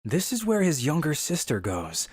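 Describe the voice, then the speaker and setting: A woman's voice: low-pitched, huge pitch swings, normal speed, darkish tone, slightly rough, full character voice. Woman voicing a scheming cowardly schoolboy. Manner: boastful then flustered, stammering excuses. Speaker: voice actor. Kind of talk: manga dub voice acting. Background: background music.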